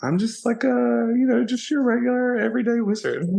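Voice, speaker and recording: A man's voice: very high-pitched, normal speed, vocal fry, darkish tone. A man, chatting in an interview as a guest, playful and bubbly and often laughing. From Alabama, USA. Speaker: guest, social media personality. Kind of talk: casual interview chat.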